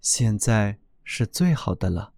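An ancient, joyful oak tree, as a man puts him on, speaks in a deep, unhurried voice full of wonder. He is a figure from a storybook fairy tale. The sound is close and dry. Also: sing-song, vocal fry, darkish tone, no echo, exaggerated voice. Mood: serene.

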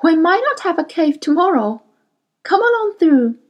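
Woman reader plays a worn-out scientist woman, high-pitched and clipped, skeptical, bouncing between highs and lows. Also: very animated, moderate pace, dull audio. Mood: weary. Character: tired, brisk adult woman scientist.

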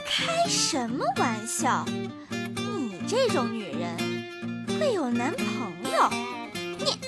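Woman acting a high-pitched, animated young girl. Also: takes it slowly, over loud music, exaggerated voice. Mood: scornful. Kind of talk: Chinese radio drama acting.

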